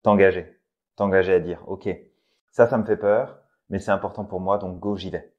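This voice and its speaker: A man co-hosting a casual motivational podcast, speaking calmly and addressing the listener directly to push them into action. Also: low-pitched, normal speed, highs cut off. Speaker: podcast co-host.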